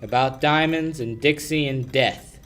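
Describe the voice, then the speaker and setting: A man's voice: medium pitch, measured pace, a bit bright, natural voice. A young man playing a tough, wry lawyer in a hard-boiled 1940s radio play. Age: young adult. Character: tough wisecracking male lawyer, suspicious and dry.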